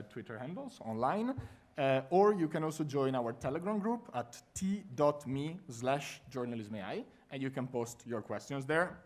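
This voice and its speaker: A man with an Italian accent presenting a conference workshop to a live audience, talking in a friendly, lively way with frequent fillers. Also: medium pitch. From Italy.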